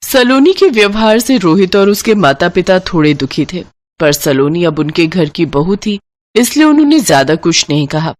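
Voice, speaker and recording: A woman's voice: fairly low pitch, very animated, normal speed. A woman giving scripted narration of a moral family story as its storyteller. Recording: voice over prominent music.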